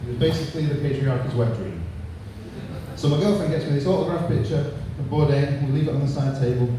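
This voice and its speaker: Mancunian comic in an echoey room. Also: male voice, mid-range voice, quick pace. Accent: Manchester English. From England. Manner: punchy crude joke delivery. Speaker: stand-up comedian. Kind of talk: stand-up set. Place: pub stage. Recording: echoey room from far off, noisy.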